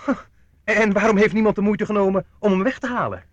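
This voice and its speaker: An actor playing a brisk, sardonic young nephew in a radio play, wry and quick to take charge. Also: male voice, high-pitched, highs cut off, natural voice. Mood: wry, curious.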